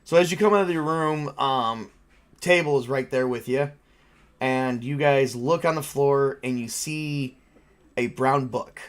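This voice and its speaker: A man with an average-pitched voice acts as game master for a tabletop role-playing game. He narrates scenes and talks through rules in a casual, conversational style. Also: unhurried.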